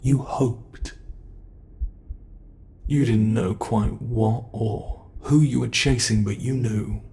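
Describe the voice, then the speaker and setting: A man playing a menacing monster in an ASMR roleplay, taunting captives with theatrical, deliberate mock politeness. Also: medium pitch, fairly even intonation, warm tone. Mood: gloating.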